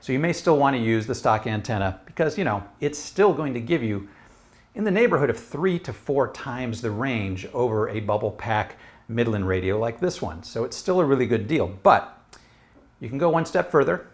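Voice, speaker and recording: A man's voice: mid-range voice, expressive, moderate pace. A middle-aged American man giving a casual, explanatory product review to camera in a conversational way. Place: small room at home. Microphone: lapel mic.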